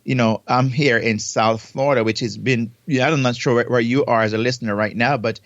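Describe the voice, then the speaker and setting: A man's voice: mid-range voice, steady tone, fairly quick, a bit bright, no echo. Man, Jamaican accent, podcast co-host, rambling restarts, dry, muffled lo-fi audio. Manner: expansive, repeats and restarts words. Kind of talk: casual podcast chat. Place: home in South Florida, remote recording. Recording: dull, lo-fi remote audio.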